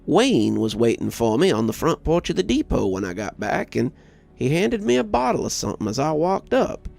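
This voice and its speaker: A man reads a scripted story in a gravelly, fry-edged voice. His pitch moves around in a lively way as he delivers each line with measured drama. Soft music plays underneath. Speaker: horror story narrator and channel host. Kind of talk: scripted first-person storytelling narration. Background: background music.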